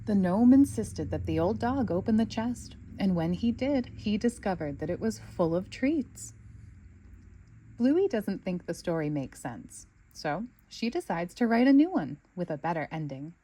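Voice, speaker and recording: A woman reading a children's storybook aloud with expressive narration. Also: medium pitch, a little slow, slight background noise, low-quality recording. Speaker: storybook reader.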